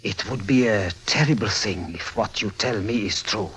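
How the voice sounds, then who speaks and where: A man's voice: on the low side, normal speed, fairly bright tone, natural voice, poor audio. An actor playing a haunted, secretive father in a vintage radio drama, speaking in a solemn, theatrical manner. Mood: anxious, insistent. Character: grave, haunted, secretive father with a guilty conscience. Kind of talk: mid-century radio drama acting.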